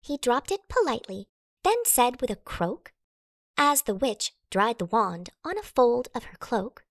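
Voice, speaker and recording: A woman narrating a children's rhyming storybook with an expressive, dramatic storytelling delivery. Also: a little high, unhurried, no room sound, clean studio sound. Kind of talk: expressive children's read-aloud in rhyme.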